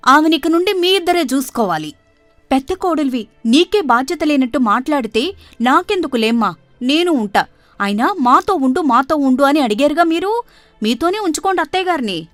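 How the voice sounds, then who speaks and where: A woman's voice: high-pitched, animated, normal speed, fairly bright tone, dry recording, faint music behind, voice pushed up. A woman voicing a scheming, grasping young daughter-in-law in a storybook tale, with an emphatic manner. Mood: indignant, bickering.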